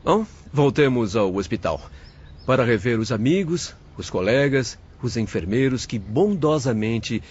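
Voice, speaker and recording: A man's voice: medium pitch, animated, unhurried, a little mellow, breathy edge, highs cut off. A man playing a kindly, encouraging young spirit doctor in an audiobook drama, exhorting in formal speech. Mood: gently encouraging.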